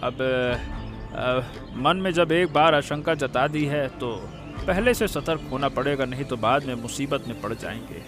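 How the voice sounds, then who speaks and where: A man playing a gruff, scornful village farmer, the grown son in a cartoon story, speaking curtly and sarcastically. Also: mid-range voice, unhurried, music in the background, lightly acted. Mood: grudging, wary. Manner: curt, sarcastic, nagging. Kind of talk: Hindi moral cartoon story dialogue.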